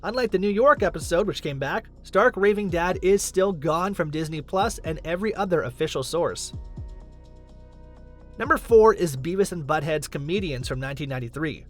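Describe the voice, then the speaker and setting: A man narrates a scripted countdown video in a high, animated voice. He explains things with emphasis, while faint music plays behind him. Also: moderate pace.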